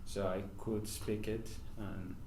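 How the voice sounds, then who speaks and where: A Nigerian man whose first language is Hausa speaks in a fairly low voice at a slow, unhurried pace. He pauses often and lets his sentences fade off softly as he answers questions. The room around him echoes, with a faint hiss of noise underneath. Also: little pitch movement. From northern Nigeria. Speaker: podcast guest, professional footballer. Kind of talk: casual interview conversation.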